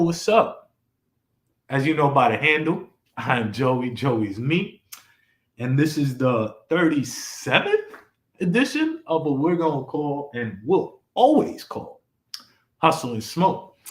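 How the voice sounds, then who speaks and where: A man's voice: a little high, fairly bright tone. Young male streamer host, loose rambling delivery, echoey room sound. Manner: laid-back and rambling, with many fillers and restarts. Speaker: podcast host. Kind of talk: casual live-stream chat.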